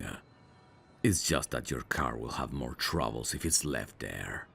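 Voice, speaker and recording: Man acting a very deep, gravelly mafia boss. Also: heavily acted. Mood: smooth, insistent. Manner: cocky, flirtatious, teasing. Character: suave, teasing, dangerous male mafia boss. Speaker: voice actor. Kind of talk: ASMR roleplay acting.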